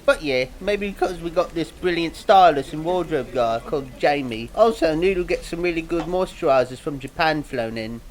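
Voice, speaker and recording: Man doing dim, high-pitched cartoon singer. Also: moderate pace, hardly any pauses, lightly acted, poor audio. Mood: self-important, earnest. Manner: vague, rambling, naive, earnest. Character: dim, earnest, rambling male cartoon pop singer. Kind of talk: mock interview fan dub.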